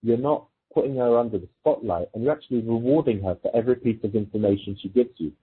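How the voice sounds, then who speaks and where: A very husky, rough-edged male voice comes through a thin, phone-like recording. He speaks as a coach, explaining things informally in a conversational way and illustrating them with example dialogue. His pitch sits on the low side. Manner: informal, explanatory, illustrates points with quoted exchanges. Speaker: dating coach. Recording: muffled phone-like audio, thin and lo-fi.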